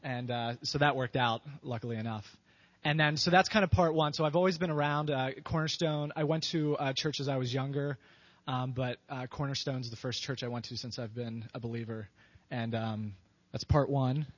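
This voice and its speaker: A man from Pennsylvania answering interview questions about his wife in a relaxed, halting way, with many fillers. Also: medium pitch. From Pennsylvania, USA. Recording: dull lo-fi sound.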